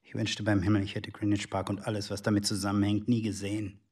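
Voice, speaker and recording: An actor playing a middle-aged husband and secret agent in a radio play, husky, reproachful and self-pitying as he defends himself. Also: lowish voice, monotone, fast talker, a little mellow. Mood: gloomy regret. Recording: studio radio play with faint music.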